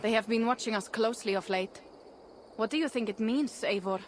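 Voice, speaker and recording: A woman's voice: fairly high pitch, fairly quick, slight background noise, close to an everyday voice. An actress playing a proud, restless young Viking warrior woman in a video game, speaking in an earnest, blunt way. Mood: troubled, restless. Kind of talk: video game cutscene acting.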